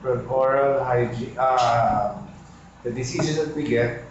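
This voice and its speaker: A Filipino-accented businessman in his fifties, speaking slowly and searching for words in a group discussion. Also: mid-range voice. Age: 50s. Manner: slow, halting, searching for words. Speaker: focus group participant, businessman. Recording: echoey room, lo-fi, background noise.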